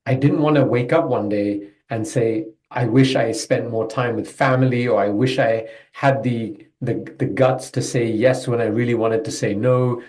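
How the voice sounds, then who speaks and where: A man's voice: mid-range voice, steady tone, moderate pace, hardly any pauses, a little mellow, reverberant. A man from Belgium, a business coach, talking reflectively and loosely about his career as a podcast guest. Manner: reflective, loose storytelling with fillers. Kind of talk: podcast interview.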